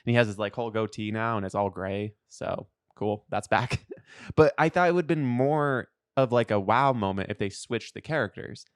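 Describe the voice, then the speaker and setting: A man's voice: fairly low pitch, animated, moderate pace, smooth, dry recording, studio quality. A man co-hosting a podcast in casual, rambling, slangy chat, often acting out imagined lines of dialogue. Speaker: podcast co-host. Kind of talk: casual pop culture chat.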